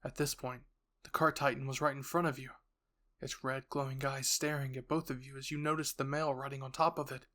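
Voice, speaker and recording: Guy narrating a dramatic roleplay story in second person, medium pitch. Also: flat delivery, brisk, fairly bright tone.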